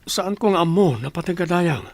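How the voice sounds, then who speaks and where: A man's voice: high voice, lively intonation, normal speed, no echo. An actor playing a respectful, dutiful village man in an Ilocano radio drama. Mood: worried.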